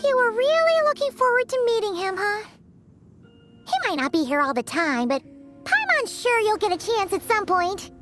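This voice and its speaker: Tiny fairy sidekick, extremely high squeaky childlike voice, bubbly, wildly swooping, video game, music behind. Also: female voice, sing-song, moderate pace. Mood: reassuring. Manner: bubbly, exclamatory, refers to self in third person.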